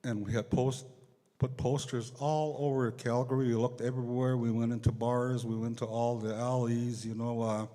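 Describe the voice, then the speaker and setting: A man giving an unscripted public address at an assembly, speaking haltingly and repeating phrases, over a hall sound system. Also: mid-range voice, flat delivery, warm tone. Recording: echoey large hall over PA.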